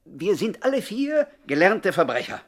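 An actor playing a timid, superstitious petty crook in a radio play, hesitant, stammering and very polite. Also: male voice, high-pitched, sing-song, moderate pace, a bit bright, exaggerated voice. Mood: eager, polite. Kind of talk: 1960s German radio play acting.

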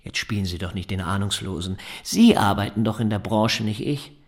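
An actor in a German radio drama playing a pedantic, insistent neighbour who needles and slyly presses for money. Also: male voice, lowish voice, moderate pace. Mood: pressing, sly.

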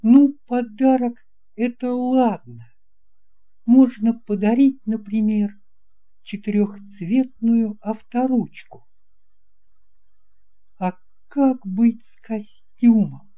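An earnest young schoolboy in a storybook, acted by a woman. He is shy and thoughtful, speaking in a raised pitch. He hesitates and muses as he goes. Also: slow, long pauses, mellow. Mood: musing. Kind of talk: audiobook character voice.

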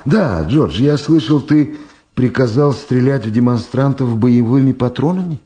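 An actor playing a sly old power broker in a 1970s Soviet radio drama, mockingly affable, unhurried and fond of repeating his words. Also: medium pitch, lively intonation, warm tone, slight background noise, lightly acted. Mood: sardonic, amused.

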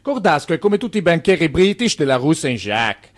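A sly, busy Italian banker in a radio drama. His voice sits fairly high and moves with lots of swing, wry and explanatory. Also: sing-song, fairly quick. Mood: wry, confident. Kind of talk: radio play acting.

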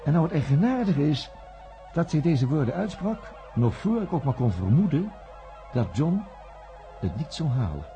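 A wry investigator looks back on his case in a very dark, mellow, lowish voice. His narration moves with lively melodic turns. The sound is dull and lo-fi, with faint music underneath. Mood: musing. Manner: wry, reflective, measured. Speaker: narrator of radio play. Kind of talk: scripted radio play narration.

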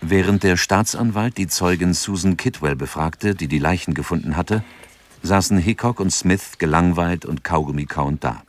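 An actor narrating a scripted radio drama in a sober, factual reporting manner. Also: male voice, low voice, moderate pace, close to an everyday voice.

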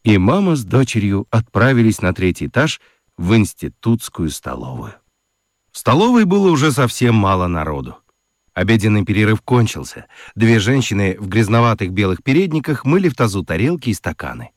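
A man narrating a children's story in an expressive, theatrical storytelling manner. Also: lowish voice, brisk, no room sound, studio quality.

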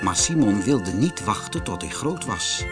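Man, Netherlands Dutch accent, children's audiobook narration, slow, lively intonation. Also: on the low side, loud music behind the voice. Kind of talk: scripted children's fairy-tale narration.

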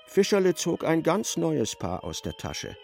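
A man narrating a radio play as literary storyteller, in a measured and wry manner. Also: on the high side, huge pitch swings, vocal fry, darkish tone, soft background music, heavily acted.

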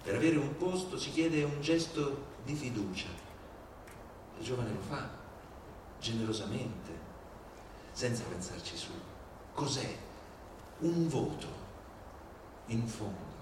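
A man delivers an indignant, rhetorical stage monologue in a medium-pitched voice. He phrases it deliberately, with dramatic pauses. The recording is distant and heavily echoing, with clear noise. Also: lively intonation. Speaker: stage performer. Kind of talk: theatrical read monologue, civic oratory. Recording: very echoey, distant, clearly noisy.

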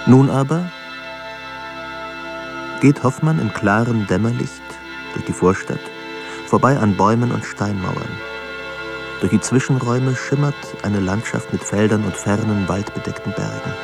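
A man narrating a literary radio drama in a measured, solemn way, pausing between phrases. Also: lowish voice. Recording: studio narration over clear music. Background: music.

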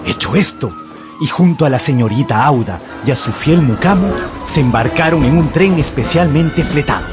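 A man's voice: on the high side, lively intonation, normal speed. A man narrating a radio drama in a theatrical, scripted storytelling style. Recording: music under the voice.

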